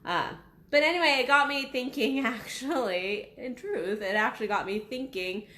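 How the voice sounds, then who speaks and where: A woman in her thirties, a sex coach, talking casually and bluntly to camera, her voice raspy from being unwell. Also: fairly high pitch, normal speed, bright, forceful tone, roomy sound. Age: 30s. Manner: frank, blunt, swears freely. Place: small waiting room at her office.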